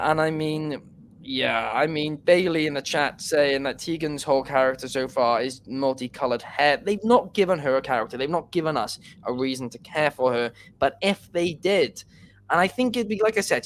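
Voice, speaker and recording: A man's voice: fairly high pitch, moderate pace, a little hiss or hum. A man from Wales, hosting a casual podcast and giving his opinions after reading out viewer questions. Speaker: podcast host.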